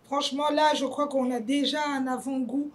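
A woman's voice: high-pitched, steady tone, normal speed. A young woman hosting an interview, asking long winding questions and affirming often. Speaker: host. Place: hotel lounge, indoors.

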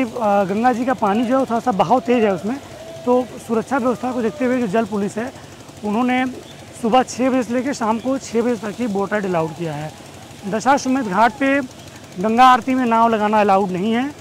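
A man, explaining things as a travel guide to camera, with pauses and English words mixed in. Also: very high-pitched. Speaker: tour company host and guide. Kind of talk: informational travel vlog to camera. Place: outdoors on open riverside steps. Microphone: clip-on wireless lapel mic. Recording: noisy outdoor ambience, distant engines.

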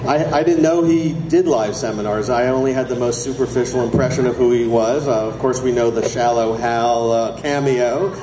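A man's voice: mid-range voice, steady tone, moderate pace, few pauses. A middle-aged man, a documentary filmmaker, telling a story on stage at a screening Q&A, with many fillers and restarts. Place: stage before a live audience. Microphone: handheld mic. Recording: echoey venue with audience noise.

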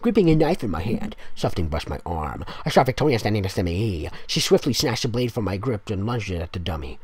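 Exasperated man with lively, swinging delivery. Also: lowish voice, fast talker, breathy edge, dry recording. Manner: exasperated, sarcastic. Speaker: fanfic reader and commentator. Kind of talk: dramatic reading with mocking asides.

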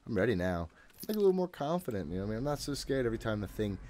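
A man in his thirties with a fairly low voice streams a horror game from a room at home. He mutters to himself in a casual, jittery way, with plenty of swearing. A little background noise sits under his voice. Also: very animated, very fast, darkish tone. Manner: jittery self-talk with frequent swearing. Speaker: gaming streamer. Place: small room at home, indoors.

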